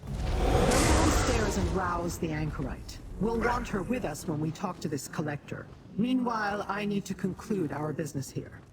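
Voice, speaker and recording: A woman's voice: medium pitch, expressive, normal speed, very noisy, natural voice. An actress voicing a haughty, ancient female Daedra in a video game, formal, commanding and scornful. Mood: curt, commanding. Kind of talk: video game character dialogue.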